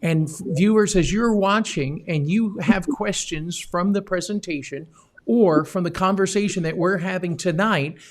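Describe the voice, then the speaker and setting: A man's voice: sits high, moderate pace. A man hosting an online missions interview, upbeat and speaking directly to the viewers. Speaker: host.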